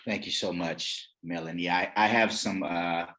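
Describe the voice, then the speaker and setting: Man, low voice, moderator, halting speech, online call. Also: steady tone, pushed and bright. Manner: halting, with many restarts. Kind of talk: conference conversation. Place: video call.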